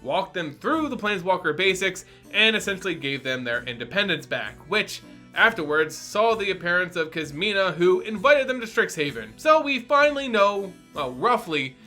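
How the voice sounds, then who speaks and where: Male lore host and storyteller: forceful bright delivery, very expressive pitch, playful teacherly manner, music bed. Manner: playful lecturer addressing listeners as students. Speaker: host and narrator of a fantasy lore channel. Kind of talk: dramatic story reading and scripted lore commentary.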